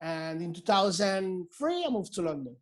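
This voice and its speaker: A man's voice: sits high, sing-song, normal speed. A middle-aged Italian man with an Italian accent, a hairdresser talking as a guest in a casual interview, hesitant with many fillers and restarts. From Italy.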